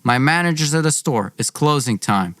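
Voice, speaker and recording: A man's voice: mid-range voice, lively intonation, normal speed, a bit bright, no room sound. Young guy podcast host doing storytime, informal and dramatic, dry close mic. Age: young adult.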